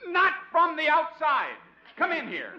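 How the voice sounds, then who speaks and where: An actor playing a pompous, blustering station manager and political rival in a 1950s radio comedy. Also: male voice, extremely high pitch, wildly swooping pitch, measured pace, heavily acted. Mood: bossy. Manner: pompous, sneering, theatrical laugh. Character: pompous, blustering middle-aged station boss. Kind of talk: 1950s radio sitcom acting. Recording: dull, noisy old 1950s radio broadcast.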